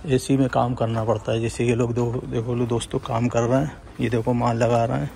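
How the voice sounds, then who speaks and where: A man's voice: medium pitch, level tone, a little fast, creaky, warm tone, slight background noise. A young man walking viewers through a large indoor supermarket, talking casually to them on a handheld phone. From India. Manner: conversational, keeps saying "friends" to viewers. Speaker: vlogger. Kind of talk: walking tour vlog.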